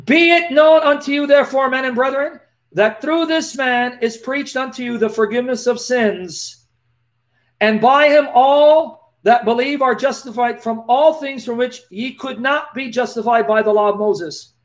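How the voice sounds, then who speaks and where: A man in his forties with a lisp, talking to his webcam in a live question-and-answer stream and reading scripture aloud in an animated, preacherly way. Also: very high voice, moderate pace. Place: small dim indoor room. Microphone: laptop webcam, close.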